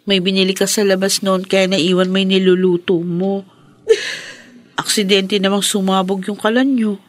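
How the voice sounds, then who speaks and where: A woman's voice: mid-range voice, level tone, normal speed, natural voice. A woman playing a concerned, supportive young friend in a radio drama.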